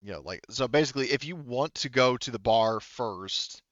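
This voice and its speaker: A man narrating a tabletop roleplaying game as game master, in a halting, rambling way, thinking aloud as he goes. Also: mid-range voice, fairly bright tone, no echo, lo-fi sound.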